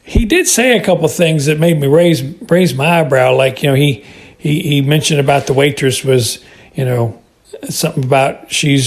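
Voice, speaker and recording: A man's voice: fairly high pitch, darkish tone. A middle-aged or older American man giving a casual spoken review, hesitating and repeating words as he rambles. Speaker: listener calling in with feedback.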